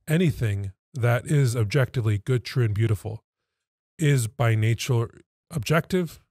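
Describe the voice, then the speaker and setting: A man in his thirties, a podcast guest explaining things conversationally in measured, emphatic phrases. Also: medium pitch, a little mellow, no room sound, studio quality. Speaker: podcast guest, pastoral associate. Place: small indoor podcast studio. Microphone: close studio mic on stand.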